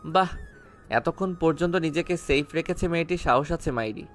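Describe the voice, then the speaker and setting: A man's voice: a little high, normal speed, faint music behind, natural voice. A man playing a brash, conflicted young gangster in an audio story, curt and commanding, dropping in English words. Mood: impressed.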